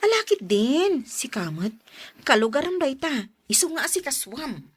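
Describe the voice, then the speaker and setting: Teasing office friend played by a woman, Ilocano accent, very high voice, gossipy and highly animated. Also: a little slow. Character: playful, gossipy female friend and coworker. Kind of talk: radio drama acting.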